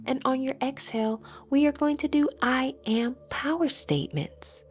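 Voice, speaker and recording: A woman leading a scripted guided meditation as an instructor, soothing and measured, with phrases that trail into breathiness. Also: sits high, slight background noise.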